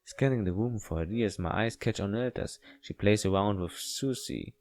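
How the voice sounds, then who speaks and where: A man with a non-native English accent reads a visual novel aloud as a let's play host, haltingly, with casual asides. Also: on the low side, a little mellow, faint music behind. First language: not English. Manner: halting, stumbling reading.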